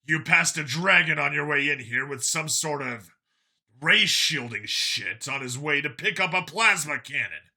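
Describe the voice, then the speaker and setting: A man voicing a gruff, stubborn cop and urban gunfighter in an audiobook, dry and emphatic. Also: fairly high pitch, lively intonation, unhurried, sharp tone, full character voice. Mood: incredulous. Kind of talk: acted audiobook dialogue.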